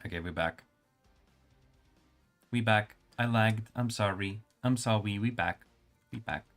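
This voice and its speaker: Male streamer, low and soothing, reading game text with chill asides, faint music under. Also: unhurried. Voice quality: calm, soothing. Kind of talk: reading game text aloud with casual commentary.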